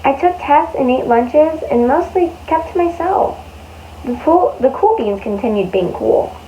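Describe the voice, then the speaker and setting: A woman reading a picture book aloud to young children in an expressive way, with exaggerated emphasis and drawn-out words. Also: high-pitched. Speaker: children's storyteller. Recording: dull lo-fi sound with faint noise.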